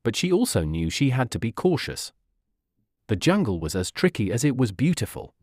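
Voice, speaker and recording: Male narrator for kids' storybook: medium register, lively melodic delivery, dry recording. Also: medium pitch, normal speed, no echo. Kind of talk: scripted children's fairy tale narration.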